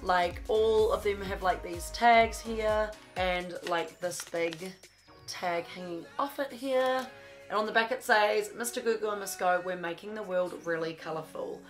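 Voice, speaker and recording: A woman's voice: mid-range voice, unhurried, soft background music. A young woman, blocked up from a cold, gushing casually through a clothing haul in a small bedroom studio. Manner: chatty, gushing, lots of "like". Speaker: fashion vlogger.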